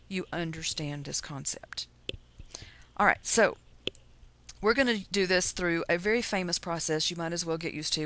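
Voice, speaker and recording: Woman, Texan accent, slightly low voice; chatty, folksy teacher explaining things in an informal recorded lesson. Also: fairly bright tone. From Texas, USA. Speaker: chemistry teacher.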